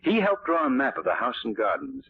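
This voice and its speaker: A man playing a suave, self-assured gentleman detective in 1940s radio drama, urbane and explanatory. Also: mid-range voice, huge pitch swings, a little fast, breathy edge. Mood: amused, explaining. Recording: dull old 1940s radio recording.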